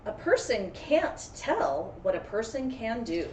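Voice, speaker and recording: Echoey, animated woman reading to children. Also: on the high side, slow. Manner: encouraging, dramatic storybook delivery. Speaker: elementary school teacher reading aloud. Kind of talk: expressive children's read-aloud with friendly chat. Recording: roomy echo, distant mic, faint noise.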